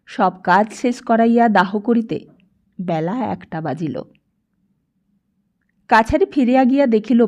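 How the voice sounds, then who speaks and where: A woman narrates a literary story a script in a measured, expressive way. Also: mid-range voice. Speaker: audiobook narrator.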